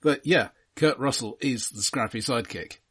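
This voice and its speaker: A man's voice: medium pitch, moderate pace, a bit bright, husky. A middle-aged man in a casual, analytical conversation about films as a podcast co-host. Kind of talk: casual analytical film discussion.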